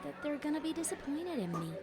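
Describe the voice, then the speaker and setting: Anxious, high-voiced young unicorn, played by a woman. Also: sing-song. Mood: guilty, fretful. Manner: hesitant, halting. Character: anxious, guilt-ridden studious young unicorn mare. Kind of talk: dramatic reading of fan fiction. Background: music.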